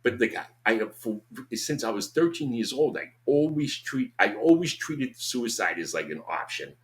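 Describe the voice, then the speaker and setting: A man's voice: mid-range voice, lively intonation, moderate pace. A man in his fifties with a Boston accent, a podcast guest talking casually. He rambles and swears freely, and he keeps saying "you know what I'm saying". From Boston, USA. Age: 50s. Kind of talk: casual conversation.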